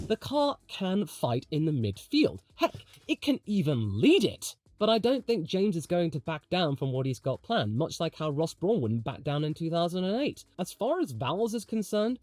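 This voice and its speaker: A man's voice: fairly high pitch, a little mellow, no echo. A man hosting a motorsport video, giving opinionated commentary in a conversational, emphatic way. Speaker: host of a motorsport commentary channel.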